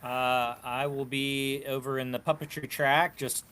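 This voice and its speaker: A man speaking as a panelist in casual chat, telling stories in an animated way. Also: mid-range voice, unhurried, smooth. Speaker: panelist and puppeteer.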